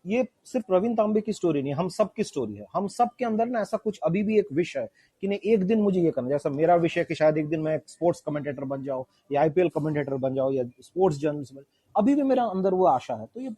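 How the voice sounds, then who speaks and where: Male panelist, high pitch, husky tone, casual Hindi-English review talk. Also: expressive, fast talker, dark tone, dry recording.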